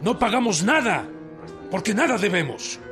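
An actor playing a defiant peasant leader in a radio drama, emphatic and insistent. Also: male voice, high-pitched, very animated, moderate pace, music in the background. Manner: emphatic, insistent, repeats himself. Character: defiant peasant leader rallying farmers over their land.

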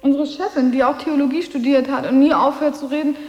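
A woman's voice: high-pitched, fairly even intonation, fast talker, darkish tone, lo-fi sound, natural voice. An actress playing a sarcastic, defiant schoolgirl at a boarding school in a literary radio drama, with pert, precise delivery. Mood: pert, reciting. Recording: echoing, reverberant hall.

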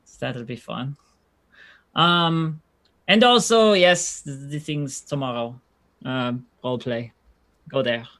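A man's voice: mid-range voice, takes it slowly, pauses often, a bit bright. A man who is a regular player on a tabletop stream, speaking in a laid-back, joking way. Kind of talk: casual chat.

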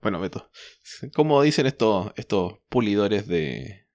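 A man co-hosting a podcast, hoarse from a cold, chatting casually and often calling his partner by name. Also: on the low side, big pitch swings, a little slow, darkish tone, dry recording. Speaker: podcast co-host. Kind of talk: casual comics chat.

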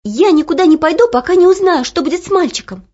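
A woman's voice: extremely high pitch, fairly quick, pitched above the performer's own voice. A woman doing a brave, stubborn little girl. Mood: pleading. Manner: loud, pleading, indignant cries. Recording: dull lo-fi recording.

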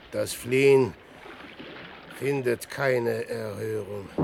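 An actor playing a boastful, self-pitying dreamer and adventurer in a radio play, declaiming between hushed brooding and grand exclamation. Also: male voice, medium pitch, creaky, a little hiss or hum, full character voice. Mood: stunned, dismayed. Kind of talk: theatrical German radio play monologue.

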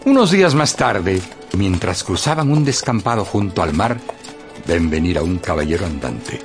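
Casting: male narrator for a radio novel, expressive and animated, medium pitch, music clearly underneath. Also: moderate pace. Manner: expressive storyteller. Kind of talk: scripted storytelling narration for radio.

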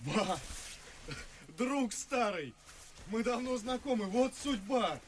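A man playing an ardent, ironic, teasing young gentleman in a classical verse radio play. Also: very high voice, animated, slow pace, slight background noise, full character voice. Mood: delighted. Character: witty, excitable, mocking young gentleman.

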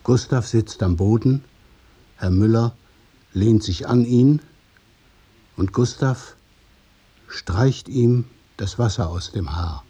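A man narrating a literary radio play in a measured, observational way. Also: lowish voice, dark tone, husky. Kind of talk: scripted literary narration in present tense.

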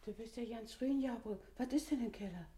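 High-pitched homely housemaid, acted by a woman. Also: brisk, mellow, close to an everyday voice. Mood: alarmed. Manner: plain-spoken, homely. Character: chatty housemaid singing at her chores. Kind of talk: German radio play acting. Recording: echoey room sound.

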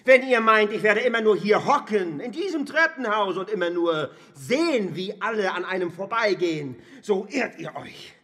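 A man's voice: high-pitched, animated, moderate pace, few pauses, pushed and bright. A male actor playing a bitter, despairing crippled husband in a radio play, theatrical and swinging between mockery and lament. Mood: defiant. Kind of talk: intense German radio play acting.

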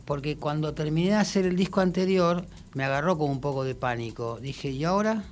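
Higher-pitched Argentine man, conversational. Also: a little fast. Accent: Rioplatense Argentine Spanish. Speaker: musician and songwriter guest. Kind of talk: casual radio interview.

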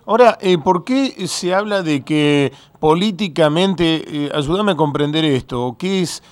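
A man's voice: fairly high pitch, unhurried, clear, resonant tone, dry recording. A man interviewing a caller on the radio, probing with careful questions and many fillers.

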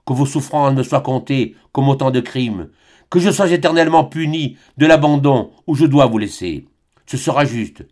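A man reading aloud, voicing a young priest who speaks gravely and with anguished resolve. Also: mid-range voice, normal speed, pitched above the performer's own voice, lightly acted. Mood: fervent. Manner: solemn, quiet, grave.

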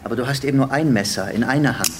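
An actor playing a menacing young street thug, crude and taunting, in a radio drama. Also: male voice, mid-range voice, racing, slight background noise, natural voice. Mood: taunting threat.

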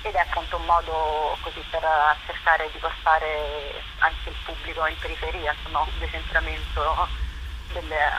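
A woman giving a casual interview, often halting as she searches for words. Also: deep voice, background noise. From Rome, Italy. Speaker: interview guest, writer and performer.

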